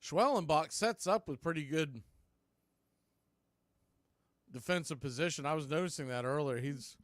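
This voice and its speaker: A man calling live baseball play-by-play in an excitable, folksy radio style. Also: a little high, moderate pace, no echo. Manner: excitable, folksy exclamations on big plays.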